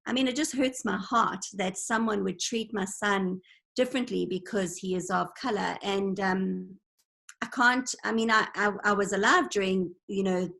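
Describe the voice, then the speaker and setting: A woman's voice: medium pitch, normal speed, vocal fry, fairly bright tone. A woman in her forties from Zimbabwe, telling stories in an earnest, reflective way as an interview guest on an online call. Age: 40s. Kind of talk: casual conversation. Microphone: video call.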